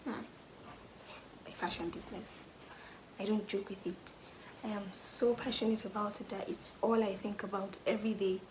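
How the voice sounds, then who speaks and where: Soft-spoken Nigerian woman. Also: medium pitch, steady tone, noisy background. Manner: thoughtful, pauses with "hmm" and "um", drops to a soft whisper at times. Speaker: vlogger. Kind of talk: casual question-and-answer vlog.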